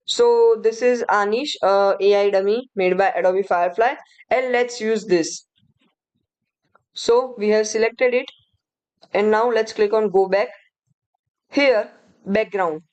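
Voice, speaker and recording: A woman teaching an AI video tool to beginners. Her voice is mid-pitched and conversational. She walks through each click casually, often pausing with fillers. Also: darkish tone, clear, resonant tone. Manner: chatty, explains step by step with fillers. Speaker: course instructor. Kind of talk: screen-recorded software tutorial.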